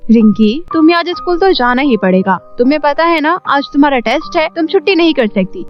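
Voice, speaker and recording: Woman as a firm, high-voiced village mother. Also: animated, fairly quick. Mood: firm, scolding. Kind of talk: Hindi horror cartoon dialogue. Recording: muffled audio under loud music.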